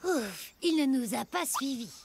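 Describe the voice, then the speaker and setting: A woman voicing an eager young adventurer in an animated cartoon, chatty and exclamatory. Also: sits high, measured pace, creaky, full character voice. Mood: earnest. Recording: music under the voice.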